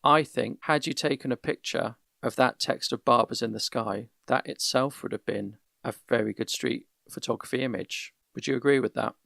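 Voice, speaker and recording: A man hosting a casual podcast, giving measured, careful feedback with frequent restarts over an internet call. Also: mid-range voice, vocal fry, sharp tone, dry recording, clean studio sound. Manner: measured, many restarts, gives constructive feedback. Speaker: podcast host. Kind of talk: casual conversational critique. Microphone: voip call.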